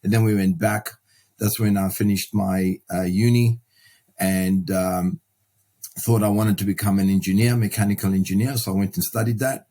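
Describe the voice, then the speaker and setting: A middle-aged man gives conversational interview answers in a low, mellow voice. His delivery is quite flat in melody and measured, with some halting and repeated words as he thinks. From Sudan and South Africa. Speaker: podcast guest, property investor and mentor.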